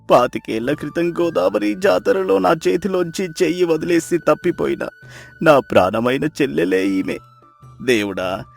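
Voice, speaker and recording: A man's voice: fairly high pitch, vocal fry, exaggerated voice. A man voicing a broken, half-mad beggar in a melodramatic moral story, pitiful, emotional and wailing. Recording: music behind the voice.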